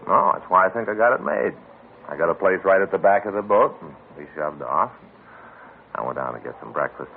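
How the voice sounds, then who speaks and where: A chatty police detective in an old radio drama, rambling and aggrieved in a deep voice. The sound is tinny and narrow, with faint hiss, like a vintage broadcast. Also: male voice, brisk. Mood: rueful. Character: chatty male police partner, grumbling about bad luck. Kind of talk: 1950s radio drama acting.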